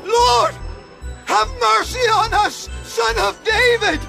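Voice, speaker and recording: An actor playing a desperate blind beggar in a Bible audio drama, crying out and pleading for mercy. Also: male voice, very high voice, steady tone, slow, creaky, sharp tone, faint music behind, heavily acted.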